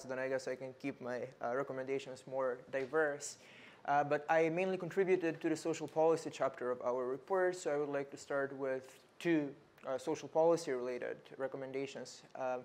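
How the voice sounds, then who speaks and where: A young man from North Macedonia speaking as a researcher on a panel, measured, with frequent fillers and repeated words. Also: mid-range voice, fairly even intonation, a little mellow. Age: young adult. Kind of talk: panel talk.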